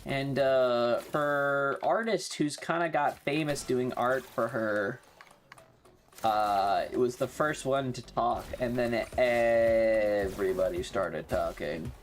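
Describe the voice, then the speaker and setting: Very low-voiced woman streamer, slow pace. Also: faint music behind. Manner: sweary, offhand and conversational. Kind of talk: casual livestream chat while gaming.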